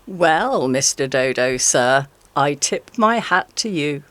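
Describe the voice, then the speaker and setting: An actor playing a cunning female fossa in a radio play, talking in drawn-out, honeyed flattery. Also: medium pitch, dry recording. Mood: sly, coaxing.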